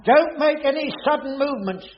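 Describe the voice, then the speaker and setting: A man's voice: very high voice, brisk. A man playing a put-upon caretaker in a radio sitcom, grudgingly deferential, grumbling and prone to stammering. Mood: earnest coaxing. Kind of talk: 1980s radio sitcom acting. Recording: dull radio recording, studio audience, faint noise.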